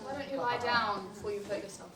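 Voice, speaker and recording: Comic stage role: bossy, scolding old man played by a woman, high voice, clearly noisy recording. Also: fast talker, natural voice. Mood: grumpy. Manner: bossy, scolding, folksy turns of phrase. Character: crotchety scolding older man, boss called Pops. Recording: echoey noisy room from far off.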